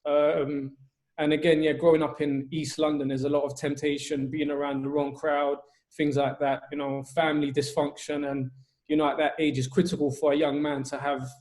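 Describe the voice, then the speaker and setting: Coach from Albania, raised voice pitch, even flat delivery, earnest. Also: male voice, normal speed. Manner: earnest, steady, many ums. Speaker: youth football coach. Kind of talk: telling his life story.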